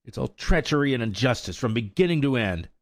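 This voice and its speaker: A man's voice: lowish voice, huge pitch swings, fairly quick, no room sound, studio quality, pitched above the performer's own voice. A man voicing a hot-tempered young male colt in a storybook reading, talking in indignant outbursts. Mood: defiant.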